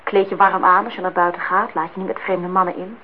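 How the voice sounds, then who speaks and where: A woman's voice: on the low side, steady tone, brisk, natural voice. An actress playing an indignant, disillusioned young woman in a Dutch radio play, outspoken and reproachful as she speaks her mind. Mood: earnest, reproachful. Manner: reproachful, talks in long outpourings. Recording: dull, noisy old radio play recording.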